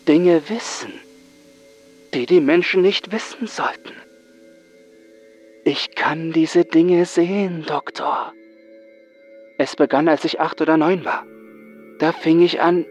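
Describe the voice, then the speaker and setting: A man acts out a young male psychiatric patient in a horror story. He is bitter, frightened and haunted, speaking with intense dramatic pauses over music. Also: on the high side, pitched above the performer's own voice. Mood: haunted, fearful. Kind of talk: horror audiobook acting.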